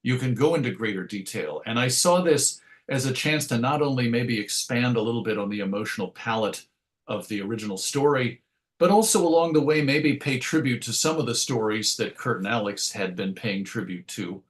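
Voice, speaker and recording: A man's voice: mid-range voice, a little fast. A man answering as an interview guest in a conversational way, thoughtful and inclined to explain things through analogies. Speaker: interview guest, writer and radio host.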